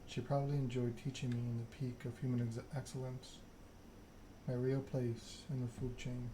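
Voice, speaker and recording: A man voicing a proud, scheming vampire in a measured, self-important monologue, read aloud in an ASMR style from a game. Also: mid-range voice, flat delivery, noisy background, close to an everyday voice. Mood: bitter, resolute. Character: proud, scheming vampire aristocrat telling their story.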